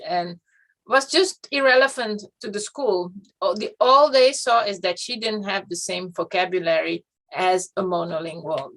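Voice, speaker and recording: A middle-aged woman speaks as an interview guest. She tells her story reflectively, pausing and restarting as she goes. Her voice is gravelly with heavy fry at an ordinary pitch. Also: a bit bright, poor audio. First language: Dutch. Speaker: guest education researcher. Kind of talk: interview conversation.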